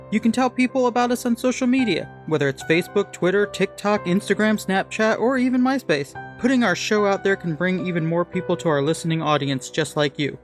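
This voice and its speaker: A man reading scripted credits and promos as a podcast announcer. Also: high-pitched. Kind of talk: scripted narration. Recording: music under the voice. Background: music with guitar.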